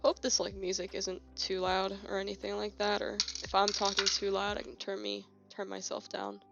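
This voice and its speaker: A young woman streaming from her home desk, chatting casually in a rambling, relaxed way, a bit sniffly, recorded on a phone on a holder. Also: mid-range voice, moderate pace, gravelly, heavy background noise. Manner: rambling, relaxed, many fillers and restarts. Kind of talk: casual live-stream chat while working on keyboard switches. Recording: slightly dull lo-fi phone mic with faint music. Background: faint music and keyboard clicking.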